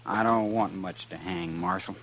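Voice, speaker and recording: Western outlaw: deep, guarded, deliberate delivery, period radio sound with faint noise. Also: male voice, fairly even intonation, a bit bright. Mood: wry, probing. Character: cool, cagey male outlaw prisoner in a western. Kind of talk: 1950s radio drama acting. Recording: humming, noisy 1950s radio recording.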